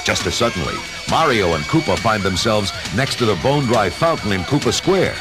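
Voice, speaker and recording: A man narrating an adventure story in a measured, dramatic scripted style. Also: fairly low pitch, big pitch swings. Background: dramatic music.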